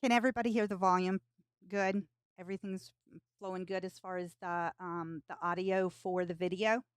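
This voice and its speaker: A woman's voice: lowish voice, steady tone, normal speed, clear, resonant tone, no room sound, studio quality. A woman hosting a live stream, giving casual commentary on clips.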